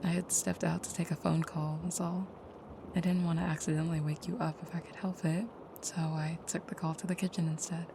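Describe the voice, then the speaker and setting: Woman acting a soft, creaky-voiced vampire girlfriend. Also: lowish voice, level tone, brisk, background noise. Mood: tender, apologetic. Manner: soft, hushed, hesitant, often dropping to a near whisper. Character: gentle, caring vampire girlfriend, soft-spoken and hesitant. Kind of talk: intimate audio roleplay, comforting the listener.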